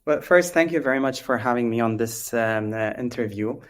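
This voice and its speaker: A man from Lebanon with a Lebanese accent, explaining things as an interview guest, halting often with drawn-out ums. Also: medium pitch. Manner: hesitant, halting, with frequent long ums. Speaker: interview guest, biotech company founder.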